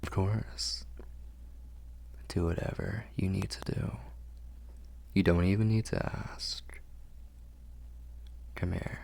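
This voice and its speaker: Casting: male actor as refined, protective vampire lord for ASMR roleplay; very low, breathy, courtly and soothing. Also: steady tone, a little mellow, heavily acted. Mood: tender, soothing. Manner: slow, soothing, formal and courtly phrasing. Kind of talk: ASMR roleplay, intimate whispering and murmuring.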